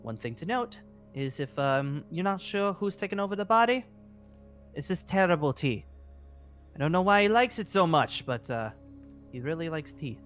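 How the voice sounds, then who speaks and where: A man's voice: fairly high pitch, animated, moderate pace, fairly bright tone, soft background music. A man playing a genial, rambling guild master mage in an improvised game roleplay, speaking politely and hesitantly. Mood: friendly, rambling. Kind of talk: improvised Minecraft roleplay acting.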